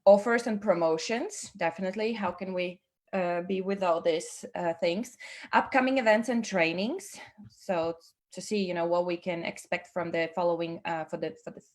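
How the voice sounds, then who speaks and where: Woman from Latvia, webinar host, upbeat, halting with fillers, video call sound. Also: lowish voice. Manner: upbeat, many fillers and restarts, laughs often. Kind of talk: online team meeting presentation.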